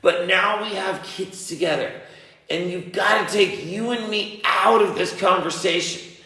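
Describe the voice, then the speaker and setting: Male, higher-pitched with a gravelly edge, confrontational and insistent, one side of a heated phone argument in an echoey room. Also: unhurried, pushed and bright. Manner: confrontational, insistent, repeating himself. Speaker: parent on a phone call.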